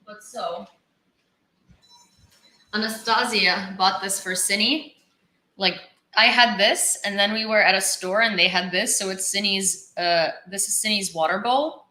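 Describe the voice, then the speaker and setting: A young woman from Ukraine hosts a relaxed livestream, rambling through chat with lots of fillers. Her voice is bright and a little tense, and it rings in an echoey room. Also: mid-range voice, unhurried. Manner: chatty, rambling, many fillers and restarts. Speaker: livestream host. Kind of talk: casual livestream chat, reading viewer comments.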